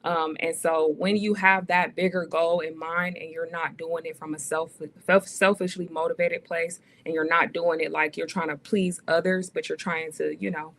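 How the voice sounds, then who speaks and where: A woman's voice: deep voice, little pitch movement, normal speed, hardly any pauses, a bit bright, slight background noise. A woman in her thirties, a podcast guest, talking earnestly and casually with many fillers. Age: 30s. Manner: earnest, faith-driven, many "um"s and "like"s. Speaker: guest, actress and author.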